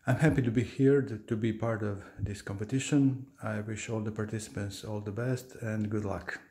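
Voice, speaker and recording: A man's voice: lowish voice, steady tone, normal speed, warm tone. A middle-aged man from Croatia speaking English with a Croatian accent, giving a short video greeting. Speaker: music professor. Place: indoor room.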